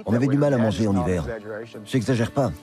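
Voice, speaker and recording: A man's voice: fairly low pitch, animated, fast talker. A man from eastern Kentucky, a reality show contestant, speaking in an earnest, plain way in a dubbed confessional. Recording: dubbed voice under loud music.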